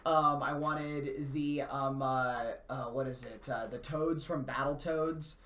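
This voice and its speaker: A man's voice: mid-range voice, steady tone, takes it slowly, a bit bright. A man, co-hosting a gaming live stream in casual, rambling, enthusiastic and slangy chat as he answers viewers. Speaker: co-host of a video game live stream. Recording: echoey room.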